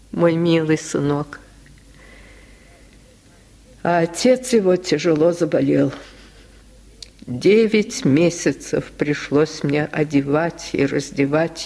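A woman voicing a worn-out poor widowed washerwoman in a slow, plaintive storytelling manner. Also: sits low, darkish tone, heavily acted. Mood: sorrowful.

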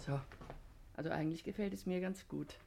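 An actress playing a weary, practical wife in a 1950s German radio play, measured and gently dry. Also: female voice, low-pitched, vocal fry. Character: weary, practical wife settling into a strange house. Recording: faint noise, 1950s radio recording.

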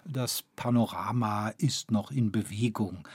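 A man's voice: on the low side, unhurried, slightly rough, no room sound. A man acting as a musing observer in a literary radio play, speaking with hesitant interjections. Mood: musing, apologetic. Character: musing male observer commenting on a panorama.

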